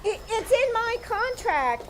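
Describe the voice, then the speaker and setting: A woman's voice: very high voice, very animated, takes it slowly, over a noisy crowd, exaggerated voice. A woman playing a stubborn, blunt housemaid in a 1940s radio comedy, bossy and obstinate, performed live on stage.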